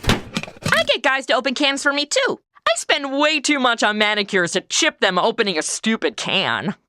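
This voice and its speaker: A woman's voice: a little high, sing-song, normal speed, sharp tone, no room sound, clean studio sound. A woman playing a sassy, food-obsessed modern young woman in a comedy audio drama, cracking snarky wisecracks.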